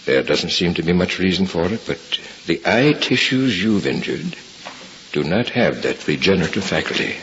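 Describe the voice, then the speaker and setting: Radio drama casting: frank middle-aged male doctor, low measured voice with lively inflection, on a muffled old recording. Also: a little hiss or hum, exaggerated voice. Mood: grave, explaining. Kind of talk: 1950s radio drama acting.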